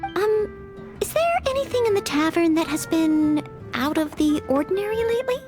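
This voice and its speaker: A young maid knight from an anime-style game. The voice is very high and lifts and dips in a lively way, with courteous, formal, slightly hesitant phrasing. Earnest and eager to help. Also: female voice, moderate pace, loud music behind the voice. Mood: polite, hesitant. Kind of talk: scripted anime-style video game acting.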